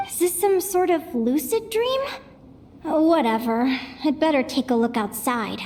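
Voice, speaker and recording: A woman voicing a gentle, bewildered young woman lost in dreams in a video game, musing hesitantly to herself over music. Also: high voice, animated, unhurried, slight background noise. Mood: groggy, puzzled. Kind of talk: English video game voice acting.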